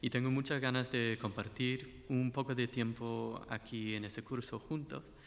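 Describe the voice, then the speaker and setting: A man's voice: medium pitch, steady tone, unhurried, long reverb tail. A man speaking Spanish with an English-speaking accent, a guest researcher giving a friendly conference talk with slides and questions to the audience. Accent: English-speaking learner of Spanish. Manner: friendly, asks the audience questions, some restarts.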